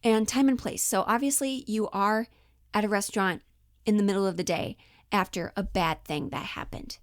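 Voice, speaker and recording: A woman giving a casual, chatty acting lesson, slangy and animated, slipping in and out of character as she explains. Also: mid-range voice, normal speed, dry recording, studio quality. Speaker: acting teacher.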